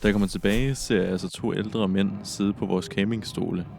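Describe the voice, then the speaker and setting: A young man tells his own story in scripted podcast narration. His voice sits low with a creaky edge, and music plays clearly behind him. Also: steady tone, a little mellow.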